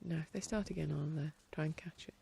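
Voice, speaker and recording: Woman rambling in her garden, bit stuffy-nosed, gravelly voice, easygoing chat. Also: sits low, fast talker. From England. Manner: rambling, chatty, talks quietly at times. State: blocked-up nose. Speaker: podcast host. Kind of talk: casual chat. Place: garden outdoors.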